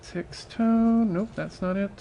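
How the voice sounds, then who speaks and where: High-pitched man telling casual stories. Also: slow, vocal fry, warm tone, lo-fi sound, a little hiss or hum. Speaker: storyteller on a charity livestream. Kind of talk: casual humorous storytelling.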